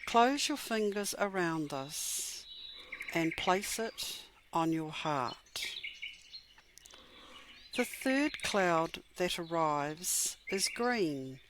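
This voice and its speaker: Slow-paced woman guiding a meditation. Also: fairly low pitch. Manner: measured, gentle, soothing delivery with long pauses. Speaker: meditation guide. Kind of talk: guided meditation narration. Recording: clear background noise with birdsong. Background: birdsong and chirping.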